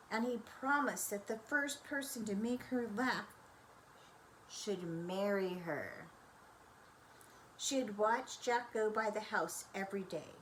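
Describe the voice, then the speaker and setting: An older woman storyteller reading a children's bedtime story in a gentle, expressive, grandmotherly manner. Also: medium pitch, slight background noise, poor audio.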